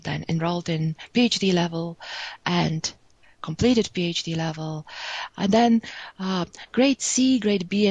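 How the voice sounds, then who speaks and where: A woman's voice: on the low side, dry recording. A woman from Bulgaria, giving a remote conference talk on statistics, hesitant and self-correcting with many fillers. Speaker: academic speaker.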